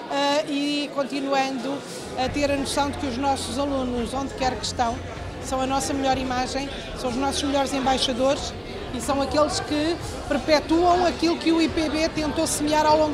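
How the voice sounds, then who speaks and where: High, even-toned Portuguese woman over crowd chatter. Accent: European Portuguese. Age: middle-aged. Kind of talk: formal interview remarks. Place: large indoor reception hall. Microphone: handheld interview microphone. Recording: busy crowd chatter behind.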